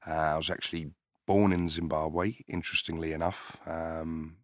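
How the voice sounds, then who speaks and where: A man who grew up in the UK, a company founder answering questions in a business podcast interview, measured and hesitant with many filler sounds. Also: extremely low pitch, little pitch movement, no echo, studio quality. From United Kingdom.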